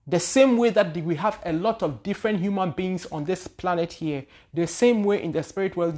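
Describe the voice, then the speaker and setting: A woman's voice: lowish voice, darkish tone. A woman from Ghana, hosting her own channel as a spiritual teacher and answering viewer questions in a loose, searching way that often repeats words and trails into hesitations. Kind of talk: casual spoken talk answering viewer questions.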